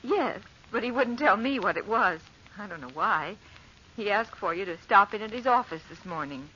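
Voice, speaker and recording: A fond, fussing wife in a vintage radio drama. Her mid-pitched voice is bright and coaxing. The recording is dull, with faint noise. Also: lively intonation, moderate pace. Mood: fond, concerned. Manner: affectionate, coaxing. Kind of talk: 1940s radio drama acting. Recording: muffled, faintly noisy old radio recording.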